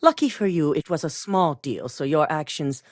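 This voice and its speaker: A ruthless corporate executive for a video game, cool and controlled. Her voice sits low yet moves with lively rises and falls, delivered crisply in a dry studio sound. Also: dry recording. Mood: stern. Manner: measured, clipped and coldly authoritative. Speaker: company boss giving missions.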